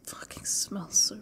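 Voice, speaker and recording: A woman playing a defiant, broken, exhausted young captive in an ASMR roleplay, speaking haltingly and often dropping to a whisper. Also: sharp tone. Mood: hungry, bitter whisper.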